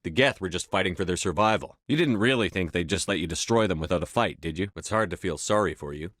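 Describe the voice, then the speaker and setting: An actor playing a stern, steady human military commander in a sci-fi video game, clipped, authoritative and matter-of-fact. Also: male voice, low voice, quick pace, no room sound, studio quality. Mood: blunt, reproachful. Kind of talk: video game dialogue acting.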